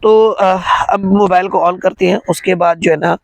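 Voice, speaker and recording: A man with a high-pitched, crackly voice walks listeners through a hands-on repair job. His delivery is informal and halting, and he keeps checking that people follow. The sound is dry and close, with very little room to it. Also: fairly even intonation, creaky, no room sound. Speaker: phone repair tutorial host. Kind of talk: step-by-step hands-on repair tutorial.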